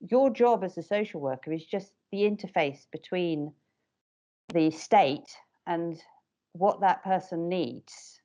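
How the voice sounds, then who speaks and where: A middle-aged woman makes her points firmly and directly, with a low voice. She speaks as a parent carer on a panel. The call audio is muffled and lo-fi. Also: slow pace, warm tone. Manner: firm, direct and emphatic. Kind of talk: panel discussion on a video call. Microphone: video call.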